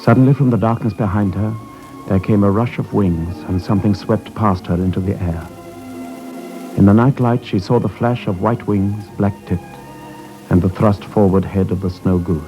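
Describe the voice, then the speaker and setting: A grave storyteller, played by a male actor, with a deep, mellow voice over faint music. The scripted narration sounds like a dull vintage radio recording. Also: steady tone, normal speed, close to an everyday voice. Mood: grave, lyrical.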